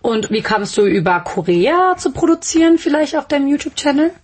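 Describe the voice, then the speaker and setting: A woman's voice: high-pitched, big pitch swings, a little fast, a little mellow. A woman hosting a radio interview show, speaking in a presenter's style with a friendly guest. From Germany. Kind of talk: scripted introduction and friendly interview.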